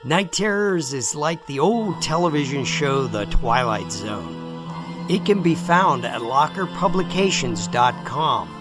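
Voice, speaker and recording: Male promo read: animated intonation, radio-style, faint music bed. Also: medium pitch, slow pace. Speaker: audio drama producer.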